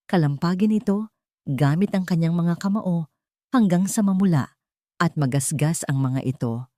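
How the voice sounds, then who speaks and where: Low-voiced woman narrating a dramatic story. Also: warm tone, no room sound, clean studio sound.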